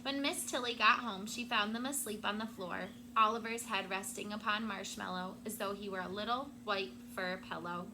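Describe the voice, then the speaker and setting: A woman teacher reading a picture book aloud to her class with expressive storytelling, stopping now and then to explain a word. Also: medium pitch, moderate pace, few pauses, noisy background.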